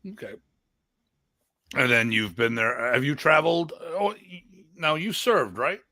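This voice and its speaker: A man's voice: medium pitch, measured pace, sharp tone, no room sound, clean studio sound. A man hosting a live online show, chatting casually and interviewing a guest.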